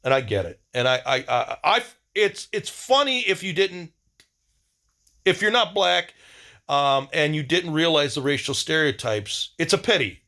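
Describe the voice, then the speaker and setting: A man hosting a casual video diary, rambling in a blunt, wry way and often halting to search for words. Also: a little high, expressive, bright, forceful tone.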